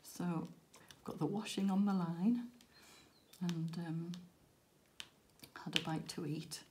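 A woman hosting a crafting vlog, croaky and tired after a late night, rambling and self-deprecating with frequent laughs. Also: fairly low pitch, fairly even intonation, slow pace, background noise. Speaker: crafting channel host. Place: indoors at a crafting table.

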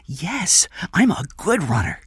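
A man's voice: fairly high pitch, wildly swooping pitch, takes it slowly, fairly bright tone, breathy edge, no room sound, voice pushed up, full character voice. A man voicing a frightened but plucky kidnapped young boy in an audiobook, eager and innocent. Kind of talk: audiobook character acting.

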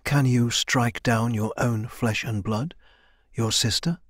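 A man voicing an ancient, weary female elder, a grave mentor speaking in a quiet, measured way in a fantasy audiobook. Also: medium pitch, steady tone, no echo, studio quality.

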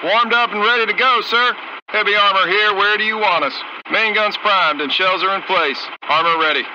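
An actor voicing a gung-ho American tank crew commander in a strategy game, terse and brisk, through a military radio filter. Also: male voice, high voice. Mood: confident, businesslike. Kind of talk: clipped military unit voice lines in a strategy game. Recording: dull radio sound with faint noise.

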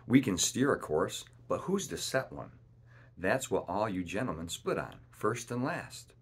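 A man's voice: lowish voice, big pitch swings, unhurried, breathy edge, full character voice. A man voicing a cunning, domineering pirate ship's cook in a storybook, with boastful, wheedling, rustic seafaring speech. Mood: exasperated, scheming.

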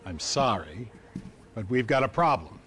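A man's voice: mid-range voice, big pitch swings, measured pace, a bit bright, slight background noise. A man playing a weary, matter-of-fact government agent in a video game, plain and apologetic in manner. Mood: apologetic, businesslike. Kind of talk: video game cutscene acting.

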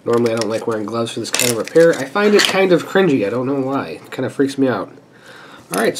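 A man's voice: mid-range voice, slight background noise. A man who sounds stuffy from a sinus infection talks casually and thinks aloud while he works through a hands-on repair at a workbench. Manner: relaxed and chatty, thinking aloud while working. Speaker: repair channel host. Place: indoor workbench.

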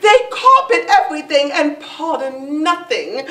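A woman's voice: sits high, very animated, a little slow, fairly bright tone, exaggerated voice. A woman acting an arch, gossiping comic lady in a theatrical stage monologue, laughing mockingly through her words. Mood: gleeful mockery. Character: gossiping, mocking woman sneering at a prudish aging coquette. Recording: echoey room, distant mic.

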